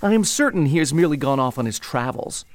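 A man's voice: a little high, expressive, brisk, dry recording, close to an everyday voice. A man voicing a clever, smug young prince who talks in a pompous, precise way. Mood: airy, dismissive. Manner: pompous, precise, lightly amused.

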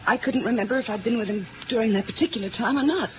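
A woman's voice: fairly high pitch, rapid-fire, lightly acted. An American actress playing a frightened, fragile young woman with hesitant speech, in 1950s radio drama style. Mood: bitter, subdued. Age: young adult. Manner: hesitant, breaking off and repeating herself. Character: frightened, lonesome young female club worker, haunted and fragile. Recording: tinny, noisy old radio recording.

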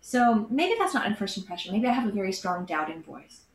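Excited rambly woman guest, lots of "like", roomy echo, faint noise behind. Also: medium pitch, a little fast. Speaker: podcast guest. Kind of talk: casual chat. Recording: echoey room with faint background noise.

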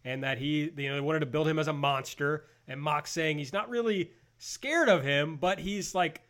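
A man's voice: on the high side, normal speed, a bit bright. A man hosting a casual panel show, recapping and giving blunt opinions. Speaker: host of a wrestling review show.

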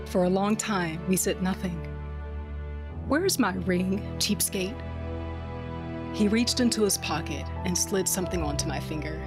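A woman narrating an audio drama in first person as a tough, wry smuggler, soft when tender. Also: mid-range voice.

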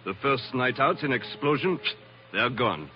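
An actor with a French accent playing a courteous, guarded shipping line manager in a 1940s radio drama. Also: male voice, medium pitch, animated, a little slow, close to an everyday voice. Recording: muffled, hissy old radio recording.

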